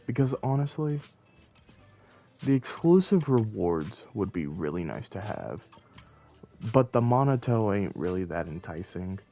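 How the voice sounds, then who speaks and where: A man with a fairly low, soft-edged, mellow voice chats casually to his livestream. He takes his time and rambles as he thinks aloud. Game music plays behind him. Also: sing-song, unhurried, muffled recording. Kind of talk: casual livestream chat while playing.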